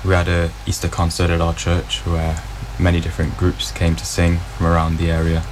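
A twenty-year-old man answering interview questions about his singing group in a calm, matter-of-fact way. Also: sits low, brisk, noisy background. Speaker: vocal group arranger.

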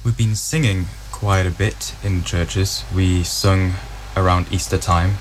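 A twenty-year-old man answering interview questions about his singing group in a calm, matter-of-fact way. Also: low voice, slow, a little hiss or hum, poor audio. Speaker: vocal group arranger.

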